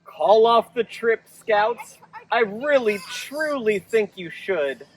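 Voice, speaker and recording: A man's voice: high voice, lively intonation, takes it slowly, recorded from a distance, a little hiss or hum, pitched above the performer's own voice, rough recording. Man voicing a dramatic, swooping sly papa bear. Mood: urgent warning. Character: sly blustering father bear spinning scary spook tales.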